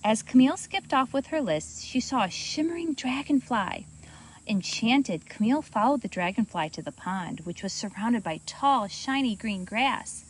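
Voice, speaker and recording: A woman reading a children's storybook aloud as host, in an expressive, animated manner for young listeners. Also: a little high, moderate pace, slight background noise, poor audio.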